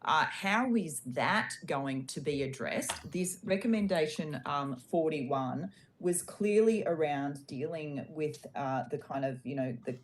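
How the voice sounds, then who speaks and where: An Australian woman on a committee, questioning a minister in a halting, pressing way full of fillers. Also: sits low, slight background noise. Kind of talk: parliamentary questioning. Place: parliamentary committee hearing room.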